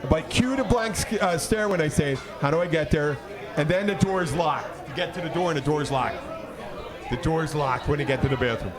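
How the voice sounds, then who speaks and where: A man casually telling rambling, profane stories as a podcast host and bartender, acting out conversations in an animated way. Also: on the high side, fairly quick. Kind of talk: casual bar banter and storytelling. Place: in a busy bar. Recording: bar chatter in the background.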